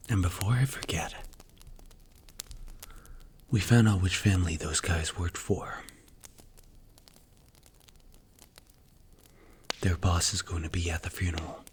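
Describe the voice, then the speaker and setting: Male actor as mafia boss, low-pitched, hushed and breathy, stern, blunt, commanding, ASMR roleplay, close mic, slight background noise. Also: close to an everyday voice. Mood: businesslike, firm. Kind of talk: ASMR roleplay, intimate scripted acting.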